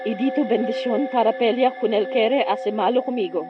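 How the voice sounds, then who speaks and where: A woman's voice: fairly high pitch, fairly quick, music in the background, lightly acted. A woman playing an earnest, defiant young heroine in a horror audio drama. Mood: incanting. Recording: slightly dull sound.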